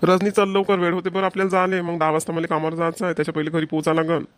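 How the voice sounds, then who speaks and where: Man playing a quick, polite young son-in-law. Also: on the high side, completely flat delivery, clear, resonant tone, no echo, studio quality, lightly acted. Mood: reassuring. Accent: Vidarbha Marathi. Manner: respectful, reassuring. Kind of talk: amateur cartoon voice acting.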